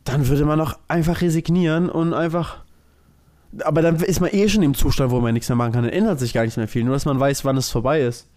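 A man with a slightly high voice talks very quickly in a casual podcast conversation. He thinks aloud, rambling from one loose clause to the next. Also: steady tone, a little mellow. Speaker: podcast co-host.

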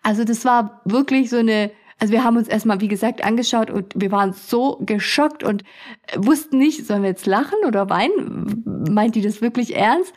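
A woman's voice: on the high side, normal speed, darkish tone. A young adult woman telling funny stories as a podcast host, chatty and often laughing. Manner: chatty, laughs often, acts out customers' voices. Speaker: podcast host, beauty studio owner. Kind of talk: casual storytelling podcast.

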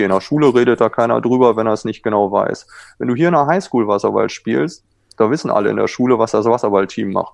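Guy coach giving interview answers, gravelly voice, dry close sound, confident explainer. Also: medium pitch, darkish tone, no echo. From Germany. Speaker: water polo goalkeeper coach, former Olympian.

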